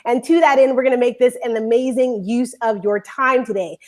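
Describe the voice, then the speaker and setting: A woman hosting a live online talk show, bubbly and rambling, talking in quick bursts. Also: on the high side, darkish tone. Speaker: show host.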